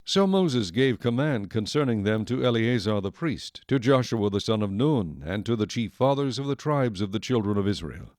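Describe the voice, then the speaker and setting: A man narrating a dramatized audio Bible in a solemn, measured storytelling manner. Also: fairly low pitch, lively intonation, a little mellow, dry recording, studio quality. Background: music.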